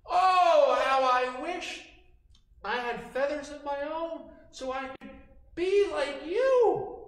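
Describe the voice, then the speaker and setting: A man voicing a sly male fox who flatters effusively in a sing-song, drawn-out way for a children's story. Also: very high voice, voice pushed up, full character voice. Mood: gushing flattery. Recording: echoey room.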